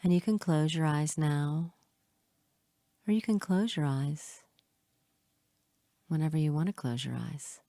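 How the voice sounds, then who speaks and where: A woman leading a guided hypnosis meditation in a soothing, hushed manner, leaving long pauses between short phrases. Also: low voice, no echo, clean studio sound.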